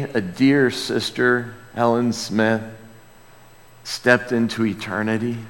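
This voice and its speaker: A male pastor gives a conversational sermon in a fairly high voice. He takes his time, speaking in a folksy, check-in style, and his words ring with long reverb from a large hall. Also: fairly bright tone. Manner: folksy, asks rhetorical check-in questions. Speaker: pastor preaching. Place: large reverberant room, preaching to a congregation.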